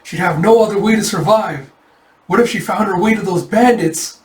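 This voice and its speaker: A man's voice: medium pitch, normal speed, close to an everyday voice. A man voicing a melodramatic, lovesick young nobleman from a fantasy manga, exclaiming with determination. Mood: anxious brooding. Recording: echoey room, far from mic.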